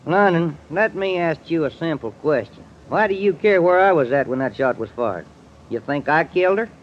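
A man's voice: on the high side, lively intonation, moderate pace, lightly acted. An actor playing a folksy, boastful and sly Texan businessman in an old radio drama, with a jovial drawl. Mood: mildly affronted. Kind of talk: 1940s-1950s radio acting. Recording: noisy, muffled old radio recording.

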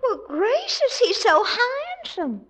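A woman's voice: very high voice, huge pitch swings, slow pace, a bit bright, full character voice. A woman playing a gushing, flirtatious widow with a Southern American accent, in 1940s radio comedy style. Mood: gushing, swooning. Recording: dull vintage 1940s radio recording.

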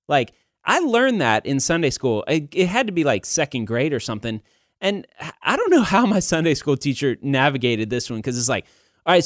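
A man's voice: on the high side, no room sound. Man, thirties, podcast host, casual chat, rambling and self-deprecating, close dry sound. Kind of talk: casual conversational podcast talk.

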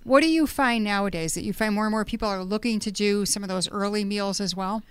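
A woman's voice: mid-range voice, quick pace, smooth, no echo. A woman hosting a casual radio interview in a studio, chatty and fond of puns, laughing often. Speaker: radio host. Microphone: studio microphone.

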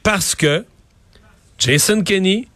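A man with a Québécois accent giving animated, casual political commentary, often repeating and restarting words. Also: high voice, takes it slowly, no echo. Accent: Québécois French.

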